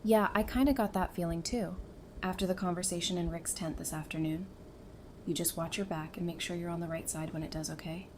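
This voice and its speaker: A woman's voice: fairly low pitch, fairly quick, lightly acted. Woman as caring female survivor, noisy ambience. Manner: casual, conversational, expressive. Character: caring, casual, warm-hearted female survivor. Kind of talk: fan audio drama acting. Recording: clearly noisy background ambience.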